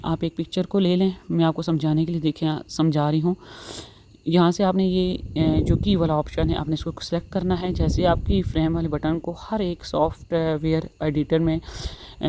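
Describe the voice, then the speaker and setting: A woman's voice: deep voice, mellow. A woman narrating a step-by-step tutorial, explaining instructionally and repeating herself. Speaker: tutorial host. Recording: faint background noise.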